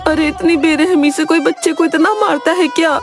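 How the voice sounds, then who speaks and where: A woman's voice: very high-pitched, fairly even intonation, normal speed, over music. A woman playing an indignant village mother in a cartoon, protective and aggrieved. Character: indignant village mother defending her son. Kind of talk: cartoon voice acting.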